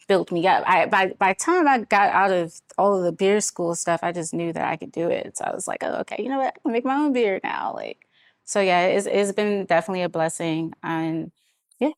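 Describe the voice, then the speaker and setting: A woman's voice: lowish voice, clean tone, no echo, studio quality. A young woman chatting casually as an interview guest, a brewer talking about her craft. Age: young adult.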